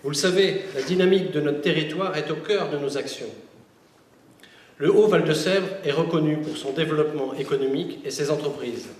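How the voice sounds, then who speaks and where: A man delivering a formal prepared speech as a local official, in measured oratorical phrases. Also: a little high, a little mellow. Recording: echoey public address, distant, faint noise.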